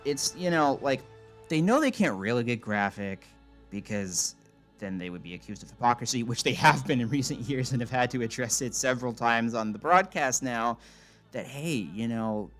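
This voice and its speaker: A man with an average-pitched, very animated voice delivers casual, mocking commentary. His manner is sarcastic and irreverent, and music plays softly behind him. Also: normal speed. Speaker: video host and commentator.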